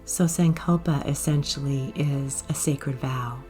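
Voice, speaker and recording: A woman guiding a meditation in a soft, gentle, unhurried way, with breathy phrase endings. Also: rumbling low, fairly even intonation. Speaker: yoga nidra guide. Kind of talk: guided meditation narration. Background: soft music.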